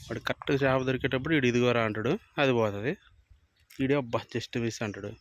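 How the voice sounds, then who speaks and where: A man's voice: medium pitch, quick pace. A man giving casual vlog commentary outdoors, bantering as he hosts. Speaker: vlog host filming children fishing. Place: outdoors by water.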